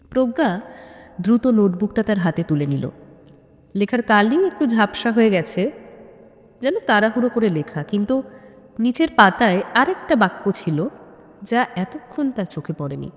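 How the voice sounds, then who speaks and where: A woman narrates a suspense story dramatically, with deliberate phrasing and weighty pauses. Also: medium pitch, darkish tone. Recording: long hall-like reverb on voice.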